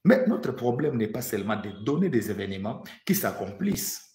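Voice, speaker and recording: A fervent man from the Democratic Republic of the Congo delivers a prophetic sermon, driving points home by repeating them. His pitch sits mid-range for a man, and his voice rings around a reverberant room. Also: big pitch swings, brisk, a little mellow. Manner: fervent, repeats phrases for emphasis, stammers on restarts. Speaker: prophet, preacher.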